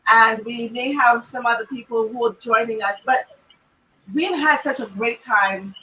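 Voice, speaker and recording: Bright Trinidadian woman hosting a talk show. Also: fairly high pitch, unhurried, far from the mic, poor audio. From Trinidad and Tobago. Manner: lively, laughing and teasing guests. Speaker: host.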